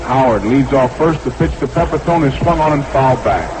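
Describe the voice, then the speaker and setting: A man's voice: medium pitch, expressive, fairly quick. Man calling baseball on noisy vintage radio. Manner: short clipped phrases, repeats the count. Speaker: baseball play-by-play announcer. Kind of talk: 1960s live baseball radio commentary. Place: ballpark broadcast booth.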